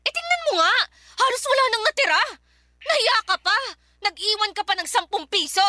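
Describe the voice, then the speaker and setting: A woman's voice: extremely high pitch, big pitch swings, a little fast, pushed and bright, no room sound, studio quality, heavily acted. A woman playing an exasperated young fiancée in a radio drama, questioning her partner in an emphatic, scolding manner. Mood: angry, hurt. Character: exasperated young fiancée confronting her boyfriend over money. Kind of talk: Filipino radio drama acting.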